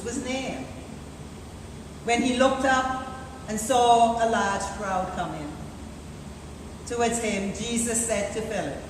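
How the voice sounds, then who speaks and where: A woman reads a liturgical scripture passage at a very slow, solemn pace. Her voice rings through a church with a long reverb. The live recording is distant, with clear background noise. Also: a little high. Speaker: deacon reading scripture in church. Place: large church interior, live service. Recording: echoey church from afar, noisy live recording.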